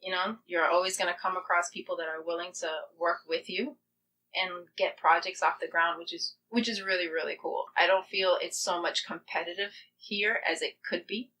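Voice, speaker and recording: A woman's voice: fairly low pitch, fairly even intonation, normal speed, pushed and bright, slight background noise, poor audio. A woman chatting casually and enthusiastically as a guest playwright in an interview. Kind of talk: casual chat.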